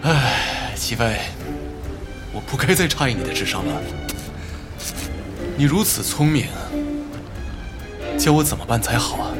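An actor playing a guarded, grieving son in a Chinese audio drama, speaking in a measured, reflective way. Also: male voice, mid-range voice, animated, natural voice. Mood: wry, admiring. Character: grieving, guarded adult son of a wealthy family. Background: prominent background music.

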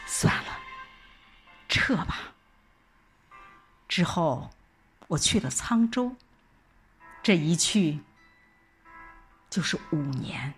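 Female audio drama narrator, lowish pitch, very varied intonation, telling a first-person story with faint music behind. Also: sing-song, drawn-out words, long pauses.

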